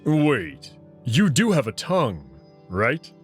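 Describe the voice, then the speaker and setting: An awkward male alien wolf from a story game, acted out by a man. He is evasive and halting, speaks without hurry and swings in pitch, over faint music. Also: very animated. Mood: hesitant, concerned. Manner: hesitant, halting.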